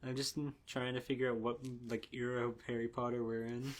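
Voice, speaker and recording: A man's voice: mid-range voice, flat delivery, brisk. A man co-hosting a comedy podcast, chatting casually with sarcastic riffs and plenty of swearing. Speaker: podcast co-host.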